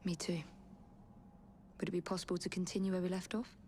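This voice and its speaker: An actress voicing a poised young woman assassin in a game cutscene, composed and quietly wry. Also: on the low side, level tone, fairly quick, slight background noise, natural voice. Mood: calm. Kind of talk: video game cutscene acting.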